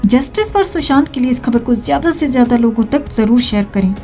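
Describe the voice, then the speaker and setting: High-pitched Indian woman narrating news over music. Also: lively intonation, brisk, darkish tone. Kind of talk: scripted news narration addressed to viewers.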